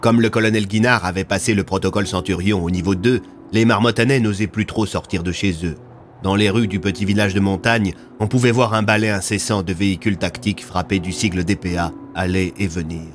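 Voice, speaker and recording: Low-voiced male audio fiction narrator. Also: steady tone, fairly quick, a little hiss or hum.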